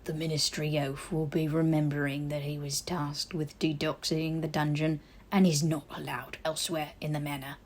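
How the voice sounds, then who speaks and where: A woman's voice: low voice, little pitch movement, normal speed, darkish tone. A woman voicing an old male house elf in a fantasy audiobook, a stubborn, glowering servant who speaks in stiff, servile broken grammar. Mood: stern, disapproving. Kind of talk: audiobook character voice in a fantasy story.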